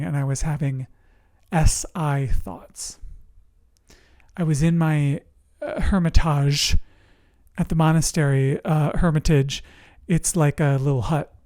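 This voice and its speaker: A resilience coach telling personal stories in a motivational talk, speaking earnestly and deliberately in short phrases with pauses. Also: mid-range voice, dark tone, dry recording, clean studio sound. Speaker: host, emotional resilience coach.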